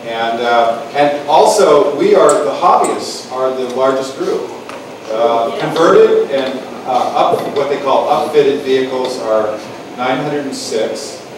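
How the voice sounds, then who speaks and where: A man running a casual lecture session, rambling cheerfully from one point to the next. He sounds far from the microphone in a very echoey hall, and there is clear background noise. His pitch sits in the middle range. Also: lively intonation, slow. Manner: rambling, upbeat, full of restarts. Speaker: moderator introducing speakers. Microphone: distant room mic.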